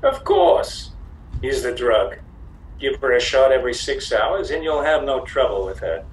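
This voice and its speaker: Casting: actor as formally polite, secretly crooked waiter, very lively intonation, off the mic, radio mystery. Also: male voice, medium pitch, unhurried, a little hiss or hum. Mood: conspiratorial. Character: deferential waiter secretly in league with villains. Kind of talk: period radio play acting. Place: at home on a video call. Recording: roomy, distant home video-call sound.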